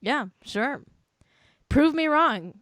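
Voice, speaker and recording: Giggly higher-pitched woman co-host. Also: expressive, drawn-out words, fairly bright tone, no echo, studio quality. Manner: giggly, chatty, swears casually. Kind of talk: casual chat.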